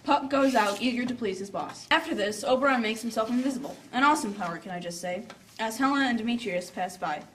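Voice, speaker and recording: Female narrator for a scripted tale with playful asides. Slightly higher voice, a bit off-mic, faint noise. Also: fast talker, fairly bright tone, distant mic.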